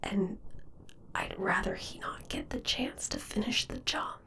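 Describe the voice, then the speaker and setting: A woman voicing a wounded, wry robot flier soldier in an audio drama, weakened, strained and breathy. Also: very deep voice, monotone, unhurried, sharp tone, far from the mic, a little hiss or hum, heavily acted. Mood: strained, irritable. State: injured, weakened.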